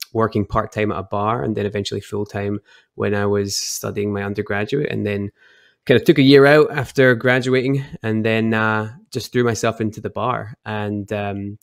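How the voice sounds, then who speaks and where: A young man from Edinburgh with a Scottish accent, chatting and telling stories as a podcast guest over a video call, relaxed and quick to laugh. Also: on the low side, clear, resonant tone, no echo, clean studio sound. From Scotland, Edinburgh. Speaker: guest, whisky brand ambassador. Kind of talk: casual storytelling chat.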